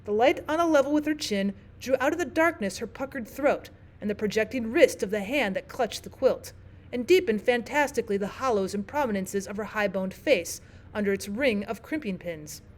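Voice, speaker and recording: Female teacher, audiobook narration, slightly high voice, steady reading. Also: moderate pace.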